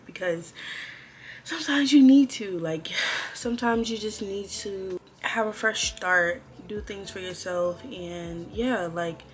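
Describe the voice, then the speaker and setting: A woman in her twenties talking casually and chattily to her viewers, like an informal chit-chat vlog with girlfriends. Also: fairly low pitch. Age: 20s. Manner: chatty, informal, girl-talk address to viewers. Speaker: vlogger.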